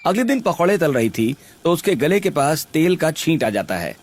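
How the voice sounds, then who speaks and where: A man reads a scripted cartoon story as narrator. His pitch is a little high and moves about in a lively way. Music runs underneath. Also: moderate pace.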